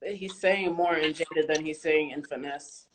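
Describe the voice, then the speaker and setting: A woman's voice: low-pitched, fairly even intonation, a little slow, a little hiss or hum. A woman hosting a casual chat interview, speaking informally with some slang. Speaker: host, interviewer.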